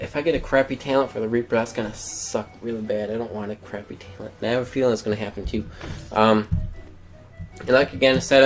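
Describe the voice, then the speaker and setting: A young man casually commenting on his mobile game, rambling and filling gaps with frequent "um"s. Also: lowish voice. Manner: rambling, many "um" fillers. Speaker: gameplay commentator.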